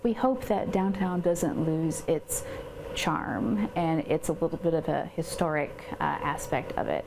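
Casual woman with a lower voice. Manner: casual, fillers like um and like. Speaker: downtown development coordinator. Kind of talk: news interview. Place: outdoors by a building. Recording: outdoor interview with noticeable background noise.

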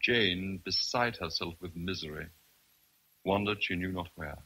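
A man giving measured, literary narration for a radio drama. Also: deep voice, bright, forceful tone, breathy edge, low-quality recording.

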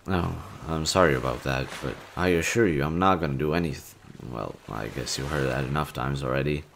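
A male actor plays a kind, bashful young man with a low voice in an intimate audio roleplay. He talks gently and casually to the listener, pausing to find his words. Faint noise and ambience sit behind him. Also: vocal fry, full character voice. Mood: gentle, reassuring.

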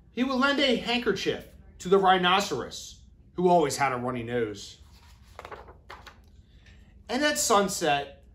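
A man in his thirties reading a children's storybook aloud with deliberate, expressive phrasing, as an educator does for young children. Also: on the high side. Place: classroom, indoors.

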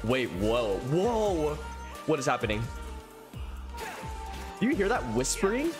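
Male streamer, thirties. Casual, excitable reactions with swooping intonation, close mic, faint music behind. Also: fairly high pitch, measured pace. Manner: excitable, exclamatory. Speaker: streamer reacting to a game trailer. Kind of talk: casual live reaction commentary. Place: small room at home, indoors. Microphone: close desk microphone. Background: faint game trailer music.

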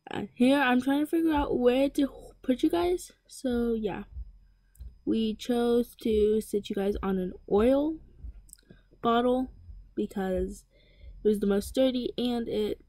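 A woman's voice: a little high, fairly even intonation, takes it slowly, mellow, dry recording. A teenage girl talking casually about packing her lunch in a home kitchen, rambling with lots of fillers and restarts. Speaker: vlogger. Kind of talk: casual voice-over chat.

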